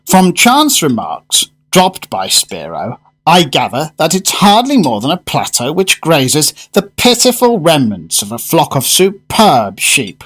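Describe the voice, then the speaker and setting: Guy playing a solemn fussy gourmet man in a radio play, very formal wording, pitch moves around a lot. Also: huge pitch swings, fairly bright tone, husky, heavily acted. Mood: reverent, confiding. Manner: formal, deliberate, florid. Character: solemn, eccentric solitary gourmet, devoted restaurant regular. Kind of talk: radio drama acting.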